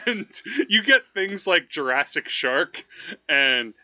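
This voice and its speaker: A man talks casually as a podcast co-host, with a fairly high, lively voice that swings in pitch. His delivery is animated and wanders into tangents. The audio is a little lo-fi. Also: slow pace, no echo. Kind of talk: casual film chat.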